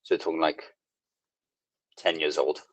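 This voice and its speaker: Deep-voiced man from South Africa, hesitant. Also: little pitch movement, normal speed, husky, no echo, lo-fi sound. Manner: hesitant, many ums and restarts. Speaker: gym owner and coach. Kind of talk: casual interview conversation.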